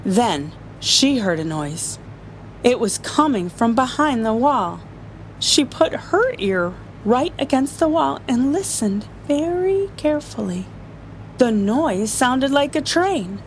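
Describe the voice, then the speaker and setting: Woman, story-time reader, high lively pitch, playful and chuckly, picture-book read-aloud, noisy rumbling recording. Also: slow. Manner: playful, often chuckling as she reads.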